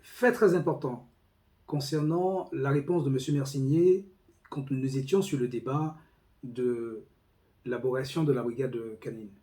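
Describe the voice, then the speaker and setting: A man speaking as a police union representative, giving a formal, deliberate, emphatic report on a meeting. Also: mid-range voice, mellow. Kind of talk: spoken meeting report with quoted documents.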